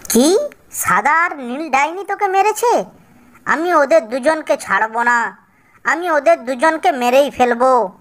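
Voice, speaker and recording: A high-pitched cartoon mother bird, acted out by a man, distraught and out for revenge. The delivery is pleading and emphatic, with slow, drawn-out phrasing. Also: animated, fairly bright tone, heavily acted. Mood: furious.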